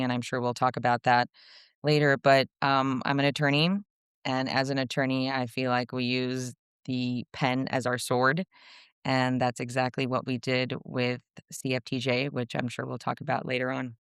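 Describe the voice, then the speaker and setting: Smooth-voiced woman, earnest. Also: a bass voice, dry recording, studio quality. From Armenia. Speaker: guest, attorney. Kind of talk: remote interview.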